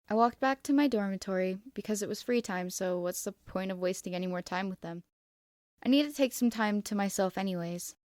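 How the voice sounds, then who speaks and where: A woman's voice: medium pitch, darkish tone, dry recording. Woman narrating a story, dry close sound. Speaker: fan fiction reader. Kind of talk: expressive first-person story narration.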